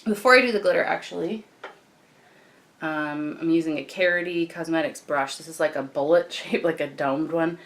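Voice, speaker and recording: A young woman with a croaky morning voice, chatting casually as she walks through a makeup tutorial. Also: low-pitched, moderate pace. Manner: chatty, relaxed, frequent fillers and restarts. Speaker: beauty vlogger.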